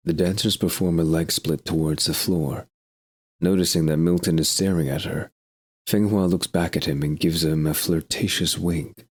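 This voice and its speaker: A man's voice: low voice, fairly even intonation, normal speed, breathy edge. A man narrating a scripted audio drama in a descriptive storytelling way.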